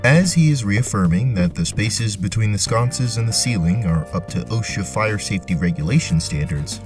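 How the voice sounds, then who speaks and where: A man narrating a scripted tabletop fantasy adventure in a deliberate, theatrical way. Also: fairly low pitch, lively intonation, faint music behind. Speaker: narrator and game host.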